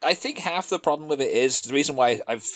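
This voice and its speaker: A British man talking casually in a discussion, drifting into joking tangents. His pitch moves a lot, and the sound is dry and close. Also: expressive, brisk, no echo. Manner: rambling, joking asides. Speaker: guest.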